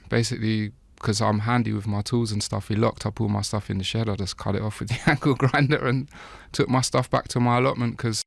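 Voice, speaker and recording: A man giving an interview, talking in a relaxed, rambling way with frequent laughs. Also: medium pitch, vocal fry, dry recording. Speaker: interview guest, chef. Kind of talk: casual interview talk.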